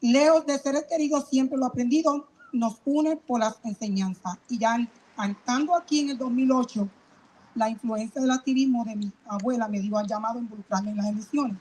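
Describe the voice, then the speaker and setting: A middle-aged woman from Puerto Rico with a Puerto Rican Spanish accent, reading her personal statement at a press rally in an earnest, halting way. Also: a little high, darkish tone, low-quality recording. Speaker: community member speaker. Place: outdoor rally.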